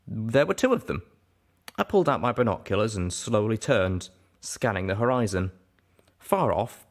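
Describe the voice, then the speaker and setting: A man with a fairly low voice reads fiction aloud. His intonation is very lively and moves widely. He hosts and narrates expressively in the first person. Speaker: audiobook reader and show host.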